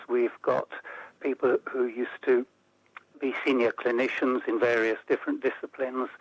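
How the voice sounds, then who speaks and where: A man as an interview guest, explaining policy in a measured way and building points into lists. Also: medium pitch, no room sound, dull audio. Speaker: interview guest, report author. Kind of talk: radio interview.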